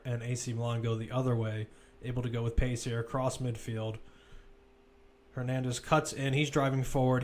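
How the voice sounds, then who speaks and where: Male, football commentator, live play-by-play, mid-pitched voice, clipped running phrases, present-tense description.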